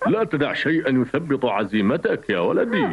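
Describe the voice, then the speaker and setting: A man's voice: medium pitch, big pitch swings, fairly quick, background noise. A man voicing a kindly, encouraging old rhinoceros beetle with an avuncular, reassuring manner in a dubbed cartoon. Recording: dull lo-fi old cartoon soundtrack. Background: music.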